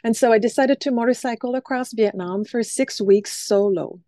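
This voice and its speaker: Mellow woman, dry sound. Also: fairly high pitch, normal speed, no echo. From Quebec City, Canada. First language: French. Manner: thoughtful, frequent ums, light laughs. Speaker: guest, stage performer. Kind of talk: conversational interview answers.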